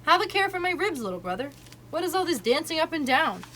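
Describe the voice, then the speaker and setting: Lady doing cool stern panther guy, dry and sharp, storybook voice, faint hiss. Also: mid-range voice, lively intonation, moderate pace.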